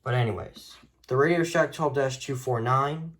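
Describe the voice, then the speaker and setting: A man's voice: medium pitch, moderate pace, fairly bright tone. A man talking informally and offhand as he shows off a collection, in an unscripted hobby video. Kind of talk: casual showcase of a radio collection, unscripted.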